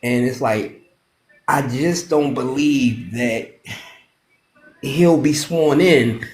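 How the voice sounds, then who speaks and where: Halting man in his fifties, echoey room. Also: medium pitch, a little mellow. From Maryland, USA. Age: 50s. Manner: halting, repetitive, with many restarts. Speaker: caller. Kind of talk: casual live-stream chat.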